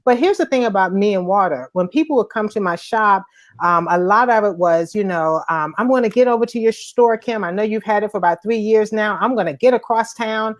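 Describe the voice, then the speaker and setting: A woman coach and host chatting casually and in a lively way, acting out the lines of her customers as she tells a story. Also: mid-range voice, a little fast. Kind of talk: casual live-stream chat.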